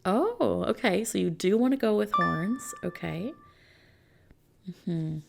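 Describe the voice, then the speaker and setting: A woman doing an ASMR roleplay as a customization consultant, talking softly and unhurriedly to a customer in a gently explanatory, reassuring way. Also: mid-range voice, lively intonation, slow pace, vocal fry, background noise. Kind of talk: ASMR roleplay, soft customer-service talk.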